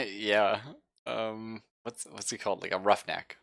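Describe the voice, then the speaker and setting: A man casually talking through his character in a tabletop roleplaying game, with hesitant, halting phrasing. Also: fairly low pitch, fairly even intonation, creaky, bright, forceful tone, dry recording, studio quality. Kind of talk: casual chat, narrating a character.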